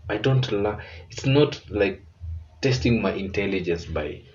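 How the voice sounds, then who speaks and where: A man's voice: on the low side, animated, a little slow, lo-fi sound, slight background noise. A Kenyan man hosting a reaction video, earnest and reflective as he comments.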